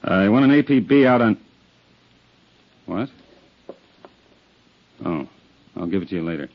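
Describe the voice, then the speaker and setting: Police detective: lowish pitch, hard-nosed and badgering, old radio-drama style, muffled lo-fi sound. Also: male voice, animated, measured pace, a little hiss or hum. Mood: weary, businesslike. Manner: gruff, badgering, sardonic. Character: tough, impatient male police detective questioning witnesses. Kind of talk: 1950s radio drama acting. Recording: dull, lo-fi 1950s radio recording.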